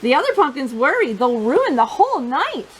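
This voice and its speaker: Animated, high-pitched woman reading to children. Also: normal speed, slight background noise, low-quality recording. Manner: playful, sing-song, expressive, addressing children directly. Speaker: children's storytime host. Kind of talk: animated picture-book reading for young children.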